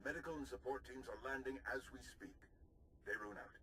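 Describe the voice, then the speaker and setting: A man's voice: mid-range voice, brisk, bright, forceful tone, a little hiss or hum, close to an everyday voice, low-quality recording. An actor playing a polite, genial military general in a sci-fi video game, formal in his phrasing. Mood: reassuring, businesslike. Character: courteous, genial male Republic military general. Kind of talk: video game cutscene acting.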